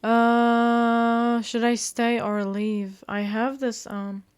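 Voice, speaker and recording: A woman's voice: a little high, level tone, drawn-out words, clean tone. A woman reading a visual novel aloud as a game streamer, stumbling over words and breaking off into blunt, playful commentary. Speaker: game streamer doing a walkthrough.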